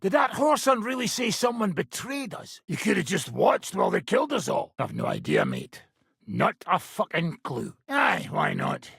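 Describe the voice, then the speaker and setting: Video game role: rough medieval warlord, combative and profane, badly husky, pitched high for a man with wild swings. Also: a bit bright, dry recording, studio quality, full character voice. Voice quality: gravelly, raspy. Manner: blunt, profane, gruff. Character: coarse, combative, foul-mouthed medieval mercenary commander. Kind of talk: video game character acting.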